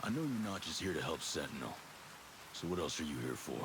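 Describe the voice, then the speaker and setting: An actor playing a tough, blunt, no-nonsense special forces soldier in a video game cutscene. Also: male voice, extremely low pitch, very animated, a little fast. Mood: confrontational. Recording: hiss and rain behind voice.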